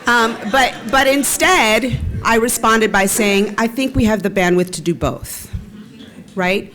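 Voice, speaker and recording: A woman's voice: on the high side, a little slow, fairly bright tone. Frank woman panelist asking "right?". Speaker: panelist, former nonprofit CEO. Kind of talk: panel discussion.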